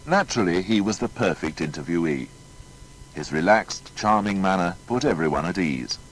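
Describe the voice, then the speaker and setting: A man's voice: deep voice, expressive, normal speed, poor audio. A man narrating a scripted biography in a formal, emphatic storytelling manner. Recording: faint background noise.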